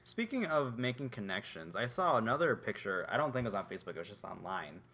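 A man's voice: on the low side, sing-song, quick pace, bright, forceful tone, breathy edge. A young man co-hosting a podcast, chatting casually and rambling with lots of filler words. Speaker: podcast co-host. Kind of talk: casual chat.

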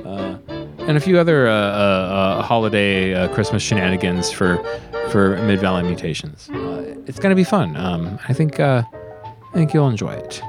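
A man hosting a radio show in casual, unscripted chat, rambling and halting as he talks with enthusiasm. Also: fairly low pitch, expressive, over music.

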